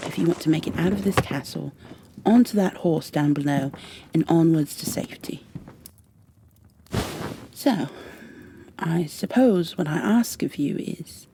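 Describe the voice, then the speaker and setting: A woman playing a stern high elf warrior in an ASMR roleplay, with formal, commanding, impatient phrasing and a soft, breathy delivery that often drops toward a whisper. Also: slight background noise. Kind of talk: ASMR roleplay, scripted in-character monologue.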